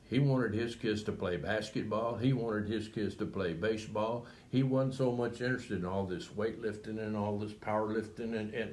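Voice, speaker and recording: Deep-voiced older coach, rambling. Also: male voice, a little mellow. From Texas. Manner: rambling, halting, with many restarts. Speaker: retired coach. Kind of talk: reflective interview talk.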